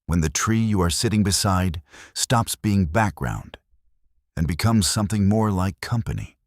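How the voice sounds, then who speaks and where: Male sleep-meditation narrator, bass-deep, contemplative scripted delivery, very dry sound. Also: lively intonation, moderate pace, no room sound.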